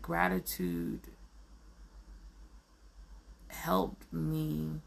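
A woman with a deeply gravelly, husky voice, hoarse from allergies. She talks slowly and earnestly in a reflective solo monologue, affirming herself and stopping often to search for the next word. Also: fairly bright tone. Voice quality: raspy. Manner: earnest, self-affirming, searching for words with many ums.

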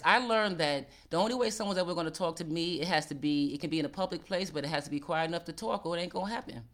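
A woman's voice: sits low, a bit bright. A woman co-hosting a casual advice chat, candid and laughing often, swearing freely and stretching out words for emphasis.

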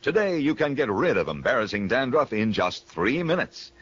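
A man's voice: medium pitch, big pitch swings, normal speed, fairly bright tone, breathy edge. An American man announcing in an emphatic, polished 1950s radio commercial style. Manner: emphatic, polished salesman delivery. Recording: muffled old radio broadcast.

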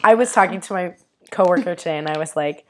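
Hoarse young woman with vocal fry. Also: quick pace, fairly bright tone, slight background noise. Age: young adult. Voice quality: raspy, low vocal fry. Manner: giggly, says "like" often. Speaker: podcast co-host. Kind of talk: casual chat.

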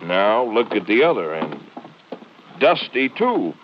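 Earnest small-town Texas sheriff, lowish voice, plain-spoken, muffled vintage radio drama sound. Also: male voice, very animated, slow pace. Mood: earnest, concerned. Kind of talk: 1950s radio drama acting. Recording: old muffled radio recording, faint noise.

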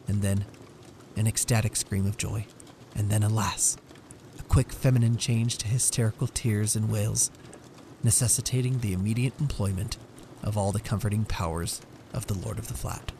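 Male story reader, lowish pitch, gravelly fry, measured theatrical narration, slight background noise. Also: steady tone, dark tone. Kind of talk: dramatic scripted narration.

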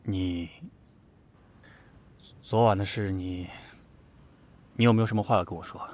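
A man's voice: low-pitched, steady tone, measured pace, darkish tone. A man in an audio drama playing a possessive, jealous lover who is insistent yet tender, in a probing, intimate manner. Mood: tender, exasperated. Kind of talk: Chinese audio drama acting.

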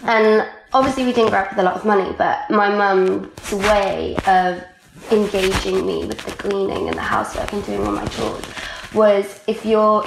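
A woman's voice: mid-range voice, gravelly, echoey room, background noise. A young woman from Wales with a Welsh accent, whose first language is Welsh, chatting freely as an interview guest and filling her talk with "like". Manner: chatty, lots of "like" fillers. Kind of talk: casual conversational interview.

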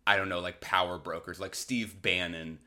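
An American man with a fairly low voice and a sharp, forceful edge. He talks like a solo comedy podcaster, casually rambling through his bits. The manner is crude, irreverent and sardonic. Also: fairly even intonation, normal speed. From Massachusetts, United States.

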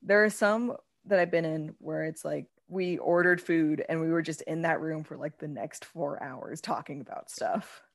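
A woman's voice: low-pitched, steady tone, normal speed, hardly any pauses, no echo. A young adult woman chatting informally as a podcast co-host, with a wry, teasing way of talking. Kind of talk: casual banter between siblings.

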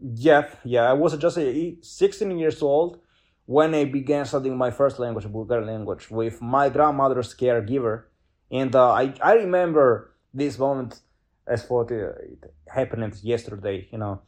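A man's voice: mid-range voice, normal speed, a little mellow. A young man with an Italian accent, a guest in an interview, speaking English as a second language with many fillers and restarts. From Italy. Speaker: guest polyglot. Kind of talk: casual interview chat.

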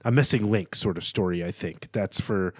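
A man's voice: deep voice, moderate pace, breathy edge, no echo. Male podcast co-host, very dry sound. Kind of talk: casual literary podcast discussion.

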